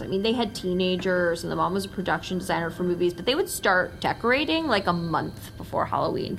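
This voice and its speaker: An actress playing a nervous young American woman confiding something intimate in a halting, self-conscious, naturalistic audio drama monologue. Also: lowish voice, a bit bright. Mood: nervous, reminiscing. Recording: music under the voice. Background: music.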